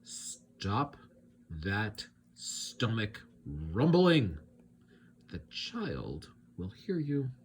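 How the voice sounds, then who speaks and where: A man's voice: lowish voice, huge pitch swings, drawn-out words, a little hiss or hum, full character voice. A man voicing a strict, fussy monster teacher from a children's storybook, scolding and instructing with emphasis. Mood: scolding, exasperated. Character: strict, fussy male monster teacher, long-necked and yellow.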